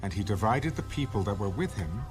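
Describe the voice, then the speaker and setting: A man's voice: on the low side, expressive, brisk. A man narrating scripture as a scripted, dramatic audio Bible reading. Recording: music bed under the voice.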